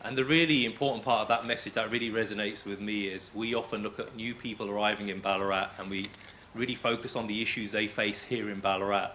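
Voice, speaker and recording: A man's voice: fairly low pitch, fairly even intonation, fast, pushed and bright, slight background noise. A man acting as MC of a panel discussion, affirming and summarizing what the speakers say before handing on. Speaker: MC and panel moderator.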